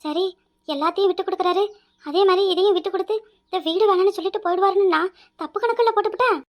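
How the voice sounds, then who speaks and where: Woman playing a very shrill, outspoken young village wife. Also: racing. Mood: worried, musing. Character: outspoken young village wife, dutiful, protective, quick-tempered. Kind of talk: Tamil family drama dialogue.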